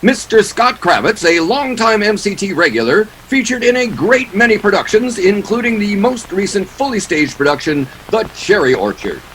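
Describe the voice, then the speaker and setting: A man's voice: sits high, sing-song, moderate pace, hardly any pauses, fairly bright tone, slight background noise, rough recording. A man announcing a radio play in scripted 1940s style, with a grand, showy manner.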